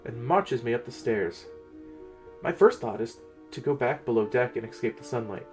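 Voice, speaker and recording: Guy reading a fantasy story dramatically, very expressive pitch, music playing underneath. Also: normal speed. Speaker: narrator.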